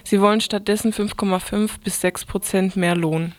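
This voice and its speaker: A woman's voice: medium pitch, fairly even intonation, moderate pace, no echo. A woman presenting radio news, reading from a script.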